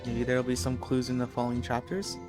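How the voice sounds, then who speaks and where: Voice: mid-range voice, completely flat delivery, quick pace, music in the background. A game streamer commenting casually and reading dialogue aloud in an offhand, hesitant way.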